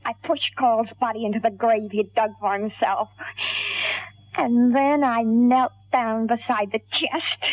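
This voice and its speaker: Murderous lady crook, tinny vintage radio drama, high-pitched, coy, calculating, dramatic pauses. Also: steady tone, no room sound. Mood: cold, satisfied. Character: cold scheming female gangster, sly, coolly murderous narrator. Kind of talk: mid-century radio crime drama acting, confessional narration. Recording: tinny old radio recording.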